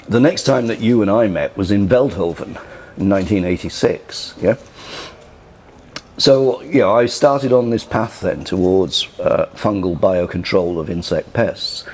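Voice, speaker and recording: Older Liverpudlian man, very deep voice, loose conversational storytelling, faint room noise. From England. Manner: relaxed, rambling, frequent "you know" and restarts. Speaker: interviewee. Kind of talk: casual recollection.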